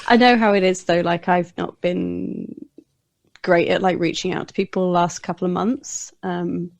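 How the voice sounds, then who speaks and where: A woman's voice: lowish voice, fairly even intonation, normal speed, gravelly, no echo. A woman who is a guest on a podcast. She has a non-American English accent and talks candidly, often putting herself down and saying "like". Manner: candid, self-deprecating, many "like"s. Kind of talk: casual conversation.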